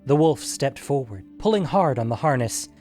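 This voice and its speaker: A man reading a scripted fantasy story as narrator, in a measured storytelling manner. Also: mid-range voice, animated, a little mellow, faint music behind.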